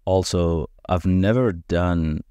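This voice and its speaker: A man whose first language is Farsi, hosting a podcast and giving an earnest, measured introduction. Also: deep voice, mellow, clean tone, no room sound, muffled recording. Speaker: podcast host.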